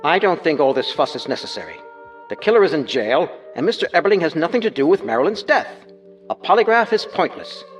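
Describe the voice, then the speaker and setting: A man's voice: on the high side, big pitch swings, quick pace, soft background music. An actor playing a matter-of-fact man in a true-crime audio reenactment, speaking in clipped statements. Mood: dismissive. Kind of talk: dramatized reenactment.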